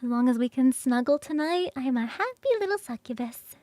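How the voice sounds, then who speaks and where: Woman acting as a high-voiced, cuddly succubus roommate. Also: expressive, no echo. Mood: eager, playful. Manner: coaxing, sighing, drawn-out savouring, shifting into soft whispers. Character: playful, hungry, cuddly young succubus roommate, affectionate and teasing. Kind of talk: ASMR roleplay.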